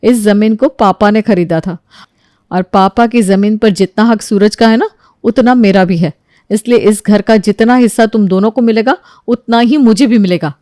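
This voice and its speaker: A woman's voice: mid-range voice, moderate pace, warm tone, dry recording, clean studio sound, natural voice. A woman playing an easily provoked, stubborn married daughter in a Hindi audio story, speaking emphatically. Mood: indignant. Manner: emphatic, indignant.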